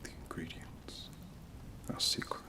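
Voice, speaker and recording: A performer voicing a stern, sardonic potions professor in a whispered ASMR roleplay, slow, deliberate and condescending, with clipped commands. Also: distant mic, close to an everyday voice. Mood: dry, condescending. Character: stern, sardonic potions professor interrogating students at wizard school.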